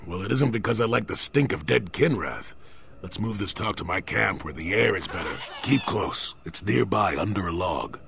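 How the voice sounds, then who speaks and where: An actor playing a crotchety old hermit Jedi in a video game, with a wry, gruff, sardonic manner. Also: male voice, low voice, lively intonation, moderate pace, a bit bright, heavily acted. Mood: wry, grumbling. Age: older. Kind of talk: video game character acting.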